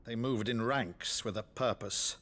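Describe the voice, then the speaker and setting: An actor playing an older noble uncle in a fantasy game, blustery yet affectionate, with a theatrical, pompous way of talking. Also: male voice, mid-range voice, a little slow, a bit bright, natural voice. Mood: earnest. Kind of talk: cinematic game dialogue.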